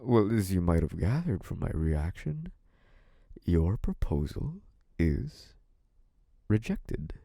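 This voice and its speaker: A man's voice: low voice, sing-song, slow, vocal fry, long pauses, warm tone, dry recording, studio quality, heavily acted. A man playing a smug, menacing, possessive young mafia boss in an intimate audio roleplay, taunting in a theatrical, mock-sweet way. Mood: smug, cold. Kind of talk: scripted audio roleplay, intimate monologue to one listener.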